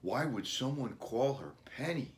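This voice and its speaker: A man voicing an eager, excitable ten-year-old boy from a children's storybook, pleading with excitement. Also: mid-range voice, a little slow, natural voice.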